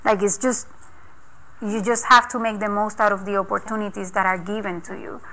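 A woman's voice: mid-range voice, little pitch movement, fairly quick, pushed and bright. A woman whose first language is not English, speaking earnestly on a panel in a conference room and often restarting her sentences. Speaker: scientist panelist. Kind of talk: panel discussion.

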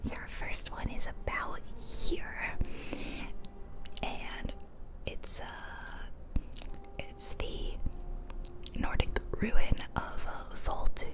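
A woman's voice: drawn-out words, pauses often. A woman whispering chatty, playful ASMR commentary over a video game, close to the microphone. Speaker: host of an ASMR gaming channel. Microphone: close ear-to-ear ASMR microphone.

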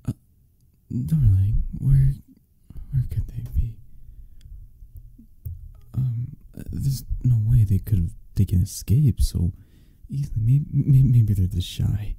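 A man voicing an obsessed, flirty, possessive male kidnapper in a hushed, halting ASMR roleplay. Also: mid-range voice, animated, dry recording, heavily acted. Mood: nervous, coaxing. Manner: hushed, halting, breathy-soft delivery.

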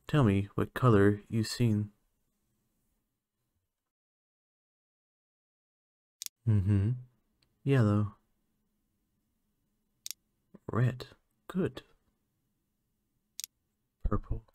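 A man voicing a curious, clinical alien scientist in a soft-spoken ASMR roleplay, measured and formal with hesitant moments. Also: lowish voice, no echo.

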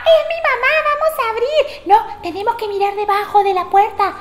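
A woman voicing excitable, wary little kid goats with a childlike, insistent manner in a children's story. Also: very high voice, moderate pace, pitched above the performer's own voice. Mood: excited. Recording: roomy, echoing sound.

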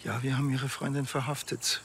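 An actor playing a gruff, sarcastic Bavarian policeman in a crime comedy, dry and laconic. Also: medium pitch, flat delivery, fairly quick, a bit bright, a little hiss or hum. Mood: deadpan.

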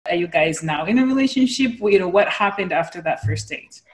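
A woman's voice: fairly low pitch, animated, brisk. Encouraging middle-aged woman hosting by video call. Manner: friendly and encouraging, with hesitant fillers. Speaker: podcast host. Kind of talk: casual interview on a video call. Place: home room indoors. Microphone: video call webcam mic.

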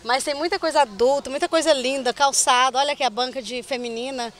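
Enthusiastic high-voiced Brazilian woman. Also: steady tone. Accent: Brazilian Portuguese. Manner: enthusiastic, quick. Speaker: shopper.

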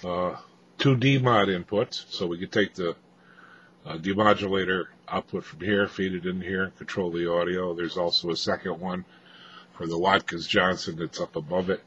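A man giving an off-the-cuff technical walkthrough of equipment, explaining haltingly with frequent hesitations, as a hobbyist presenter. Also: low-pitched, steady tone, a bit bright. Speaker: amateur radio hobbyist presenter. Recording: dull lo-fi audio, faint background noise.

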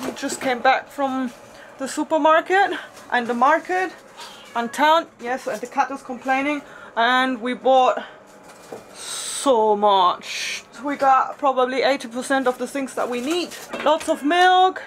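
A woman's voice: high voice, slight background noise. A woman in her thirties hosting a sailing vlog, talking casually to a camera held at arm's length and laughing often. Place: outdoor market and sailboat.

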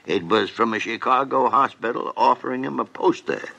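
A crusty, irascible senior doctor from a 1950s radio play. His voice is gravelly and forceful, blustering through every complaint with theatrical cantankerousness. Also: mid-range voice, moderate pace. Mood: aggrieved, dramatic. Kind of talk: 1950s radio drama acting. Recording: old 1950s radio broadcast.

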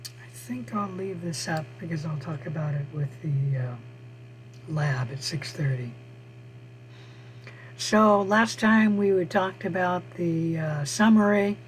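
Hoarse man teaching online, slow and halting with lots of "uh" pauses, fairly high voice, faint hum behind. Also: poor audio. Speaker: college biology instructor. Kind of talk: online lecture over screen share. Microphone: computer mic on a video call.

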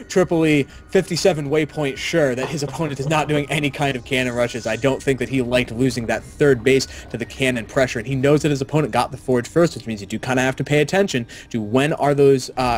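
Male analyst caster, mid pitch, chatty and eager. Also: fairly quick, hardly any pauses. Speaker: esports co-caster. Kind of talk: live game analysis.

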